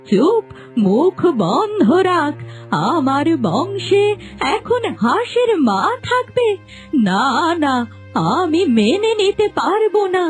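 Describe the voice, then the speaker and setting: A woman playing a harsh, domineering older mother-in-law in an animated folk story. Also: very high-pitched, takes it slowly, soft background music, heavily acted. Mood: scornful, angry.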